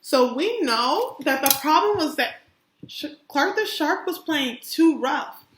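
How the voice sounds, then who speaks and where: A woman kindergarten teacher reading aloud to young children in an expressive, encouraging way, stopping to ask them questions. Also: sits high, slow pace. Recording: roomy, echoey sound.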